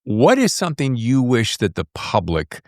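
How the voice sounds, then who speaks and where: A man's voice: medium pitch, huge pitch swings, moderate pace, darkish tone, no echo, clean studio sound. A middle-aged man hosting a podcast interview in a casual, chatty and emphatic conversational style. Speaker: podcast host.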